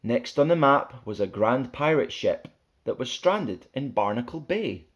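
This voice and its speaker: A man's voice: mid-range voice, animated, a little slow, lo-fi sound. Man reading a playful rhyming children's story. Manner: playful, theatrical, sing-song rhythm. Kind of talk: animated read-aloud of a rhyming children's story.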